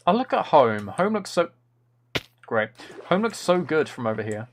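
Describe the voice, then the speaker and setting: Playful guy streaming a game, bit of vocal fry, rambly chat, faint keyboard noise. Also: fairly high pitch, very animated, normal speed. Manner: playful, rambling, trying out game sound impressions. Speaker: livestreamer, author. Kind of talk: casual gaming livestream chat with viewers. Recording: faint noise with key clicks. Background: computer keyboard typing and clicking.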